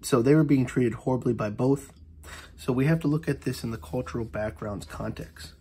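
A man's voice: medium pitch, normal speed, mellow, a little hiss or hum. A man explains a Bible passage in an earnest, informal teaching voice. He often repeats phrases and restarts his sentences with fillers, as if thinking aloud while he points through the page. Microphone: voice-over on an overhead camera view of an annotated Bible.

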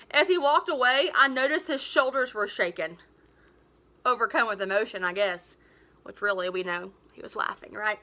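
A woman in her thirties reading a story aloud like a teacher, with emphatic, expressive delivery. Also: sits high. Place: living room at home, indoors.

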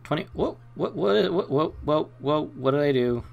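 A man's voice: fairly high pitch, animated, moderate pace, gravelly, darkish tone, highs cut off. A man hosting a let's play, reading game narration aloud and commenting casually.

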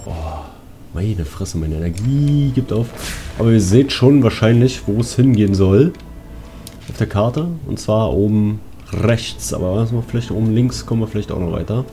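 A man's voice: lowish voice, moderate pace. A man giving casual, colloquial gameplay commentary in speech, talking half to himself and half to his viewers. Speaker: let's player. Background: game music.

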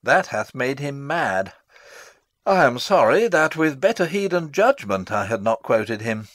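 An actor playing a fussy, self-assured older royal counsellor in a classical drama reading, wordy and pompous. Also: male voice, medium pitch, big pitch swings, measured pace, fairly bright tone, no echo, clean studio sound. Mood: urgent, regretful.

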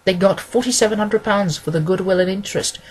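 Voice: mid-range voice, brisk, slightly rough, voice pushed up, lightly acted. A reader as a nervous, rambling young woman. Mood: chatty, earnest. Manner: rambling, earnest, deferential. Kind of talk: acted audiobook dialogue.

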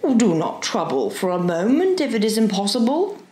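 A woman's voice: medium pitch, huge pitch swings, unhurried, echoey room. A woman voicing a mild, bookish male professor in an audiobook, courteous, formal and kindly, with some hesitation. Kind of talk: audiobook character voice.

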